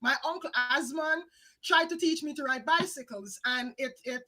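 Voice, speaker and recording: A woman from Jamaica, chatting lively with viewers as a psychic co-host and calling them guys and hon. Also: high voice, moderate pace. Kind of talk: live-stream reading, casual chat.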